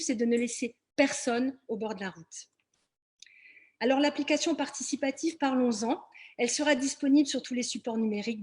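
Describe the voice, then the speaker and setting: A woman with a raised, husky pitch presents as a local election candidate. She speaks partly off the cuff, addressing her listeners directly and leaning on the points that matter. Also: darkish tone. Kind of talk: public meeting presentation, partly improvised.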